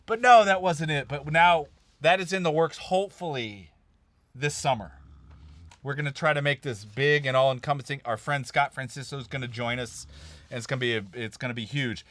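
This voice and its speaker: A man's voice: mid-range voice, a little fast, a bit bright, no echo. A man from Kansas hosting a casual live-stream chat about recording studios, chatty and laughing at himself. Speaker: host.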